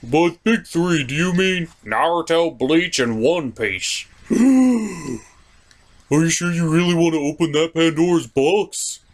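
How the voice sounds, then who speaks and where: A man voicing a fretful, excitable puppet sidekick in a melodramatic, incredulous manner for a comedy show. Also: on the high side, slow. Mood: worried, warning.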